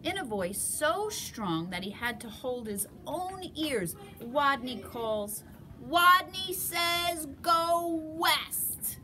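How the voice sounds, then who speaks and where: A woman teacher reads a picture book aloud at an unhurried pace. Her voice sits a little high and rises and falls with plenty of life. A steady hiss runs under the recording. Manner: slow, expressive storytelling.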